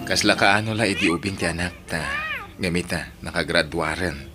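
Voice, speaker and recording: A man playing a forgiving, devout husband in an Ilocano radio drama, gentle and consoling, with an Ilocano accent. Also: sits low, normal speed, loud music behind the voice, natural voice. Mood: gentle, relieved.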